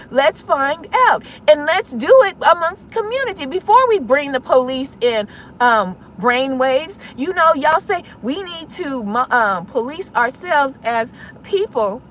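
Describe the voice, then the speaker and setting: A woman's voice: high-pitched, a bit bright, a little hiss or hum. A woman delivering an impassioned public address online, insistent and confrontational, pressing her points with rhetorical questions. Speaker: online content creator.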